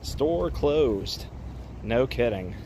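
Male vlogger, middle pitch, casual and joking, phone audio outdoors with clear road noise. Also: animated, drawn-out words, darkish tone. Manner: chatty, amused, frequent laughs and asides. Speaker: urban exploration vlogger. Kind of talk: casual walk-and-talk narration. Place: outdoors in an empty parking lot. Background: traffic and outdoor ambience.

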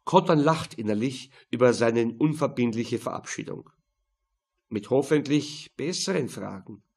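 A man's voice: medium pitch, animated, measured pace, creaky, slightly rough. A man narrating a crime novel as a scripted audiobook.

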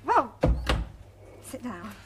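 A woman's voice: a little high, sing-song, drawn-out words, a bit bright, a little hiss or hum, full character voice. An actress playing an emotional, apologetic middle-aged woman who speaks hesitantly. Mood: warm. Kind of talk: TV soap drama acting.

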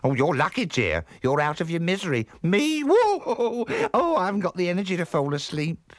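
A man's voice: high-pitched, wildly swooping pitch, normal speed, no room sound, clean studio sound, pitched above the performer's own voice. A man voicing a chatty, rambling working-class old housekeeper woman with a gleefully macabre streak in a comic story. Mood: weary, nattering. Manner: chatty, rambling, with cooing asides.

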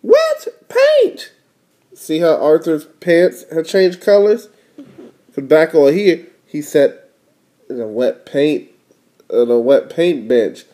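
A man's voice: fairly high pitch, takes it slowly, warm tone. A father reading a picture book to his young child, explaining it casually and prompting with questions. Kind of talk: storybook read-aloud with casual explanations.

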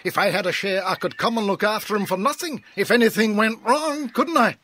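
A man's voice: sits high, fairly quick, bright, forceful tone. A British man playing a jolly, generous country vet in a children's audio drama, hearty and exclamatory. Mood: pleasantly surprised.

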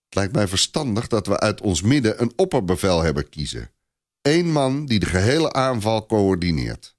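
A man's voice: lowish voice, sing-song, normal speed, pitched above the performer's own voice. A man voicing a haughty, self-satisfied French viscount in a story, with a proclaiming manner. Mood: self-assured.

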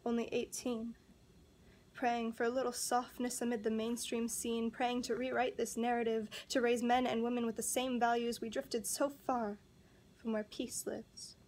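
A young woman performing a slam poem in an earnest, pleading way, with measured, deliberate phrasing. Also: fairly high pitch, level tone, a little mellow, a little hiss or hum. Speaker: spoken word poet. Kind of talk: slam poetry recitation.

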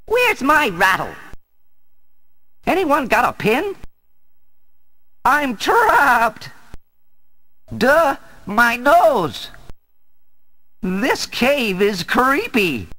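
A goofy teenage turtle who cracks jokes in a bright, bouncy voice. His quips are drawn out slowly and swing wildly in pitch. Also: very animated, heavily acted. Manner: jokey, exaggerated quips.

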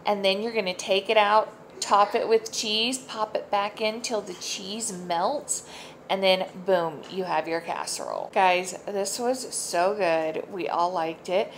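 A woman's voice: medium pitch, measured pace. Woman home cook, thirties, casual upbeat tutorial narration with asides; slight background noise. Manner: chatty, upbeat, lots of asides and fillers. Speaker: home cooking vlogger. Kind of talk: casual cooking tutorial voice-over. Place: home kitchen.

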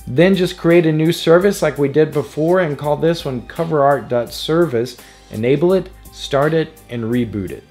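A man's voice: a little high, moderate pace, few pauses, a little mellow. A man hosting a tutorial, reading scripted narration in a casual, explanatory way. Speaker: tutorial host. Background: background music.

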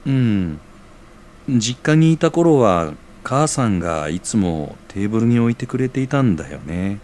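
A modest young working man in a radio play. His voice is fairly low but moves around a lot, gentle and earnest. There is faint background noise. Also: very animated, a little slow. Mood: wistful, earnest. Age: young adult. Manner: gentle, boyish, earnest speech. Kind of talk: audio drama acting.

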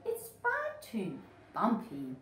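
Storybook ladybird, played by a woman reader: lazy, fussy female, plaintive and slow, heard in an echoey room. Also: mid-range voice, huge pitch swings, fairly bright tone, exaggerated voice. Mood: complaining. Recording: echoey room, distant mic, faint noise.

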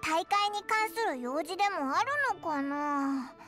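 A woman voicing a tiny childlike fairy companion in an anime game, excitable and chatty with blunt, childish speech. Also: high voice, a little slow, soft background music. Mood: chatty, curious. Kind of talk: Japanese anime game voice acting.

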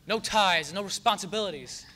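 A lovable drifter of a radio soap, played by a young man on stage. His voice is high and forceful, and he stumbles through his improvised lines. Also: normal speed. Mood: swaggering. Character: gruff but lovable drifter, improvised by flustered radio actor. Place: theater stage.

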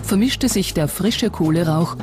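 Documentary narrator, medium pitch, scripted delivery, music bed underneath. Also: unhurried. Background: background music.

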